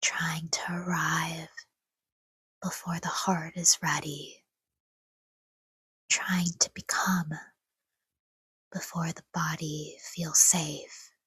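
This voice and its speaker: A woman speaks in a very breathy, whispery voice, low in pitch and extremely unhurried. She guides a sleep meditation gently and soothingly, leaving long pauses between short, hushed phrases. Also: flat delivery, no echo. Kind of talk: guided sleep meditation, scripted, soft-spoken.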